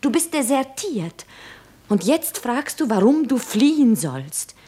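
An actress playing a clear-sighted, devoted woman who reasons insistently in a German radio play. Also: on the high side, big pitch swings, slow pace, mellow, heavily acted. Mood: urgent.

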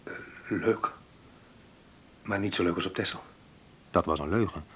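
An actor playing a reflective man in a naturalistic radio drama, talking in a conversational, hesitant, wry way with a husky voice. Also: low-pitched, a little slow, slight background noise. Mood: subdued, regretful. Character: Menno, reflective man recalling a school friend. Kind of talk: naturalistic radio drama monologue and phone talk.

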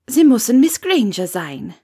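A woman's voice: on the high side, sing-song, measured pace, warm tone, breathy edge, voice pushed up, full character voice. Woman voicing a gushing, chatty older village hostess. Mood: gushing, friendly. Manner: gushing, talkative, enthusiastic. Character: chatty, effusive plump grey-haired Scottish innkeeper's wife. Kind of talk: audiobook character dialogue.

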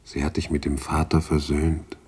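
A man voicing a grave, knowing spirit guide with a measured, solemn delivery in a 1960s German radio drama. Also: rumbling low, little pitch movement, darkish tone, husky, heavily acted. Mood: solemn, guiding.

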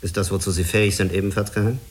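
An actor in a German radio drama playing a skeptical, authoritative spaceflight commander, questioning in a clipped manner. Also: male voice, low-pitched, steady tone, rapid-fire, natural voice. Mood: probing, skeptical. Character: hard, skeptical male spaceflight commander, probing and curt.

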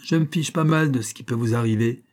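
A man's voice: medium pitch, brisk, a little mellow, close to an everyday voice. A man voicing a blunt, sarcastic frontier man who gives advice in an adventure audiobook. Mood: gruffly helpful. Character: sardonic, blunt frontier onlooker giving advice, male.

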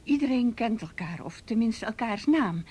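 A woman acting in a radio play as a timid, flustered, chatty neighbourhood lady with a hesitant, confiding, breathy delivery. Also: fairly high pitch, animated, moderate pace, darkish tone. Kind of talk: naturalistic Dutch radio play dialogue.

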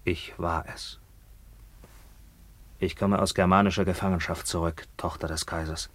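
Radio play: grim returned captive, low voice, declamatory and vengeful, close dry recording. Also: male voice, flat delivery, moderate pace, slightly rough, no echo. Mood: grim, subdued. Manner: declamatory, grim, swelling from low murmurs to fierce cries. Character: bitter maimed Roman returnee from captivity, commanding and vengeful. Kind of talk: theatrical German radio drama acting.

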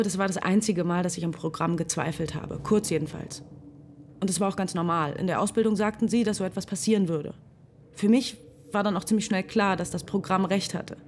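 An actress narrating as a reflective young woman in a radio drama, speaking in a calm, measured, introspective way. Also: on the low side, a little hiss or hum, natural voice. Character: reflective young woman who trusts a dating program. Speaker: narrator and main character.

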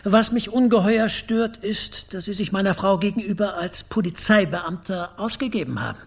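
An actor playing a haughty, indignant former minister with a raspy, creaky voice and a pompous manner in a radio play. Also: male voice, sits high, moderate pace, full character voice. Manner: pompous, clipped. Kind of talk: naturalistic radio play acting.

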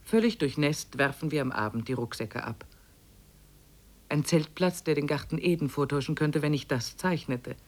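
A measured expedition diarist, woman. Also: low-pitched. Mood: sober, matter-of-fact. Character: weary woman trekker narrating her expedition diary. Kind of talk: scripted diary narration in a 1950s radio play.

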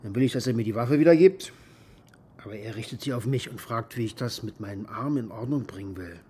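Male, boastful aggressive uncle for radio drama: husky, coarse, contemptuous, taunting. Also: medium pitch, brisk, warm tone. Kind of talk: naturalistic radio drama monologue.

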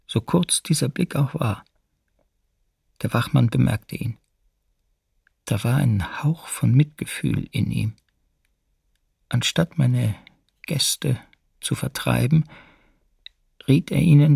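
An actor playing a former camp prisoner, recounting the ordeal in a restrained, deliberate way for a documentary radio drama. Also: male voice, medium pitch, vocal fry, husky, no echo, studio quality, full character voice. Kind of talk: documentary radio drama, first-person testimony.

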